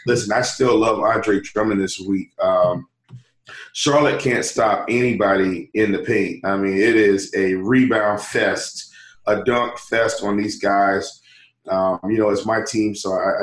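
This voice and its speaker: Hoarse low-voiced man on a podcast. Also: steady tone, unhurried, echoey room, rough recording. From US East Coast. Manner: eager, stammering restarts. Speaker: podcast guest analyst. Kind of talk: fantasy basketball podcast chat.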